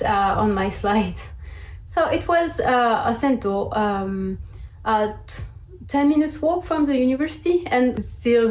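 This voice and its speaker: High-voiced French woman giving a talk. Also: a little mellow, roomy sound, rough recording. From south of France. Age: 30s. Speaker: guest speaker. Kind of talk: prepared online presentation. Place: indoor room at home, on a video call. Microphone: video call microphone.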